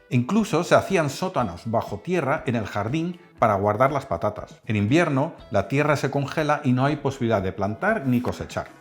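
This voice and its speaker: A man from the Netherlands, hosting a cooking channel and calmly explaining a recipe step by step. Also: medium pitch. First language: Dutch. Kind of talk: cooking tutorial voice-over.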